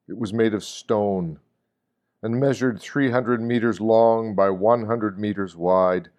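Man, lowish pitch, scripted reflective reading, measured phrasing, deliberate pauses. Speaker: reader of meditations.

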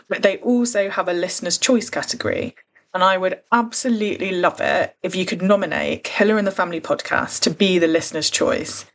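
A woman hosting a true crime podcast, talking in an earnest, careful and conversational way. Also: lowish voice. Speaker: podcast host.

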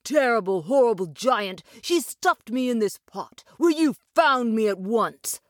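A woman's voice: a little high, lively intonation, unhurried, no room sound, pitched above the performer's own voice. A woman voicing a cheeky young boy in a fairy tale, with a pleading, theatrical delivery. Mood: complaining.

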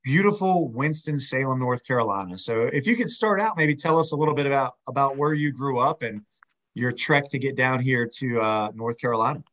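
A man co-hosting a podcast, friendly and chatty, his voice a little hoarse. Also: medium pitch, lively intonation, brisk, a bit bright. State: slightly hoarse. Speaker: podcast co-host. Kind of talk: casual podcast chat.

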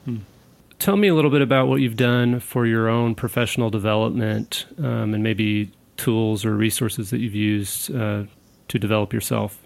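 A man hosting a conversational podcast interview, putting his questions informally and a bit haltingly. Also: lowish voice, steady tone, creaky.

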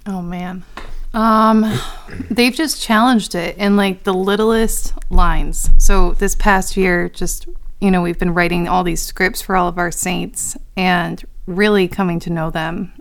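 Earnest young woman, smooth low voice. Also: fairly even intonation, slow. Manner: earnest, encouraging. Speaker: podcast co-host. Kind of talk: casual chat.

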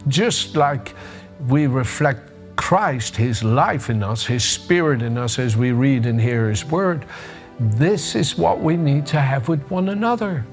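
A man's voice: medium pitch, animated, a little slow, faint music behind. An older man with a Dutch accent tinged with American, giving a conversational devotional sermon, often restarting and searching for words. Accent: Dutch with a bit of American. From Netherlands. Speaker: preacher.

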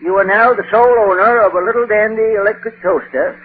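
A dry, shrewd old rural farmer, voiced by a man, spins his yarns in a slow, folksy drawl with rustic grammar and a high pitch. The sound is a thin, tinny vintage radio recording with faint hiss underneath. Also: fairly even intonation, lightly acted. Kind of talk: 1940s radio comedy acting.

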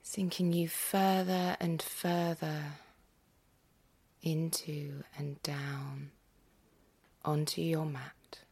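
Female meditation guide: husky, hushed, near-whispered, creaky at the edges, gentle and very unhurried. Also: sits low, little pitch movement. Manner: gentle, unhurried, with long pauses. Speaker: yoga nidra meditation guide.

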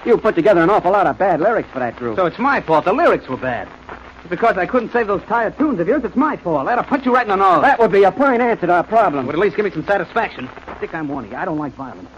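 Bickering songwriters. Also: male voice, high voice, very animated, fast talker, slight background noise. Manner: bickering, heated. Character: quarreling songwriters, two adult men. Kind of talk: 1940s radio acting. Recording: dull lo-fi old radio recording.

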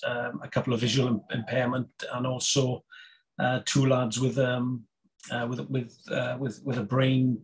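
A Welsh man in his fifties, a guest on a podcast, talking casually with many pauses, fillers and restarts. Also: medium pitch, a little hiss or hum, rough recording. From Wales. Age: 50s. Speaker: guest, snooker player and federation chairman.

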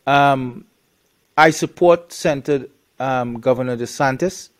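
A man's voice: mid-range voice, unhurried. A man from Trinidad, a guest on the show, talks bluntly in a casual interview, with many restarts and fillers.

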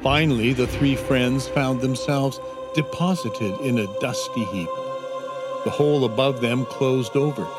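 Slow, measured male storybook narrator. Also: mid-range voice, over loud music. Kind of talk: scripted children's story narration.

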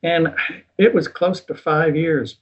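An older man in a casual interview, recounting his experiences in a relaxed, self-deprecating way. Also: on the high side, slow pace. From United States. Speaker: guest. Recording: dull lo-fi recording.

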